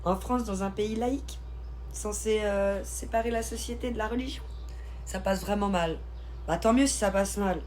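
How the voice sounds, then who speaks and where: A woman from Switzerland chatting casually on a live stream, reading and answering viewers' comments in an informal, slangy, expressive way. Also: mid-range voice, normal speed. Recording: live stream with clear background noise.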